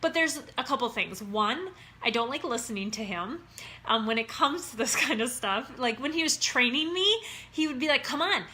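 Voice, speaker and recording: Bright, chatty Upper Midwest woman. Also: sits high, expressive, moderate pace, slight background noise. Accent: Upper Midwest American. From Minnesota. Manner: chatty and upbeat, keeps saying "you guys". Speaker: online wellness coach. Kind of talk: casual talk to viewers.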